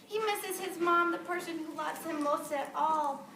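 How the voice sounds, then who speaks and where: A woman teacher reading a picture book aloud to young children, expressive and often prompting them with questions. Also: very high-pitched, a little slow. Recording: distant, echoey noisy classroom.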